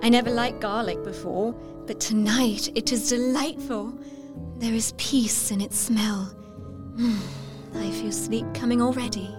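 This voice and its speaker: A woman's voice: fairly high pitch, steady tone, a little slow, loud music behind the voice, natural voice. An actress playing a sweet, trusting young Victorian lady in an audio drama, speaking in a gushing, genteel manner. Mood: dreamy, content.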